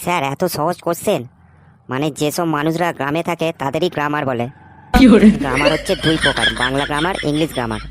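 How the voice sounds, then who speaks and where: Man acting a fast-talking, cocky job seeker. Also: fairly high pitch, pushed and bright. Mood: cocky, confident. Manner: earnest, wheedling, overconfident. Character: cocky, pleading, dim-witted male job candidate. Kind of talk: comedy cartoon dialogue.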